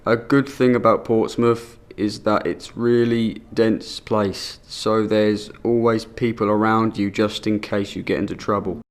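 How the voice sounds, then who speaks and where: A man's voice: on the low side, fairly even intonation, measured pace, few pauses. A young man speaking plainly and matter-of-factly in personal testimony for a short film.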